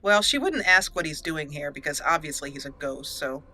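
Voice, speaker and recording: A woman's voice: low voice, expressive, moderate pace, pushed and bright, a little hiss or hum. A woman hosting a let's play, chatting playfully through her gameplay, laughing often and reading game text aloud. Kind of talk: gameplay commentary.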